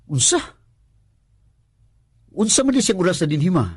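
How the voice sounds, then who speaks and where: A man playing an easygoing, money-strapped working husband in a Filipino radio drama, husky and questioning, with a Filipino accent. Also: sits high, very animated, normal speed, darkish tone, dry recording, studio quality, exaggerated voice. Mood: puzzled.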